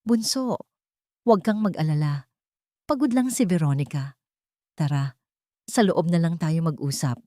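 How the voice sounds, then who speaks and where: A Filipino-accented woman voicing a protective, gentle businessman, an older brother, in an audio storybook. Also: sits low, measured pace, long pauses, dry recording, clean studio sound. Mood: tender, soothing. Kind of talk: dramatic audio storybook reading.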